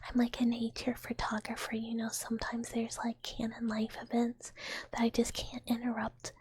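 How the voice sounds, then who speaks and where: A woman's voice: fairly high pitch, completely flat delivery, moderate pace, a little mellow, a little hiss or hum. A woman streamer whispering casual, giggly, rambling chat to her viewers. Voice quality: whispering, very breathy. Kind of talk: whispered ASMR chat while reading viewer comments.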